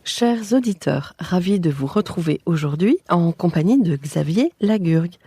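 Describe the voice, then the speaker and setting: A woman hosting an architecture podcast interview, reading her introductions and questions in a poised, measured way. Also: lowish voice, animated, warm tone, husky, no echo. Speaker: podcast host.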